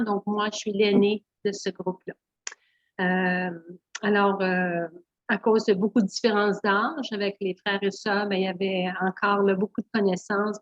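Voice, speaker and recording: A middle-aged woman from Northern Ontario with a Franco-Ontarian French accent, a guest telling her life story in a halting, candid way with many fillers and restarts. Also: medium pitch, dry recording. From Northern Ontario, Canada. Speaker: guest, naturopath. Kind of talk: hesitant conversational storytelling. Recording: dull muffled lo-fi sound.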